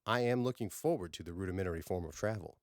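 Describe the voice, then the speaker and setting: A man voicing a serene, intuitive alien woman with formal, calm, reassuring phrasing in an audiobook. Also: sits low, fast, no echo, clean studio sound.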